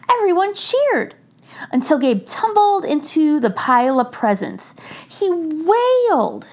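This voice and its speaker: High-pitched woman reading a children's story aloud. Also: darkish tone. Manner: addresses young listeners directly, clear deliberate phrasing. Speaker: host reading a children's picture book aloud. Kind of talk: expressive read-aloud storytelling for young children.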